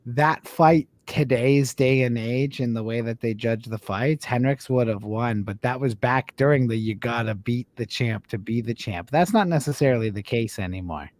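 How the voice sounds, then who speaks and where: A man's voice: medium pitch, normal speed, few pauses, a little mellow, no room sound. A man co-hosting a casual live fight watch-along, joking and teasing his friends and laughing often. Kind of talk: casual sports chat.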